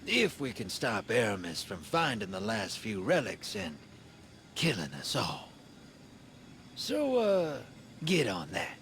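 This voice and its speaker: Slow-drawling roguish American drifter. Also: male voice, fairly low pitch, wildly swooping pitch, a bit bright, slight background noise, exaggerated voice. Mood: wry, confiding. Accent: American, folksy drawl. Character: roguish folksy drifter, sly and easygoing adventurer. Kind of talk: video game character dialogue.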